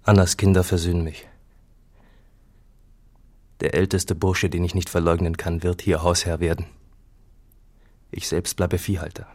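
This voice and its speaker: An actor playing a solemn, brooding farmer in a literary radio drama, speaking gravely and deliberately. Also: male voice, sits low, level tone, darkish tone.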